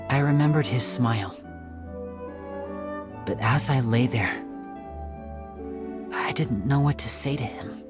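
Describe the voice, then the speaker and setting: A man voicing a suave, wistful young male stage magician in a video game, by turns theatrical and playful or soft and reflective. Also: mid-range voice, close to an everyday voice. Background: game music.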